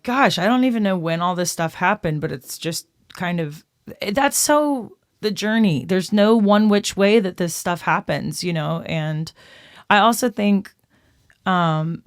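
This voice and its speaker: A woman in her thirties from Texas, a guest musician telling her story in a casual podcast interview, chatty and lively, often laughing. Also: medium pitch, measured pace, no echo, studio quality. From Austin, Texas. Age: 30s.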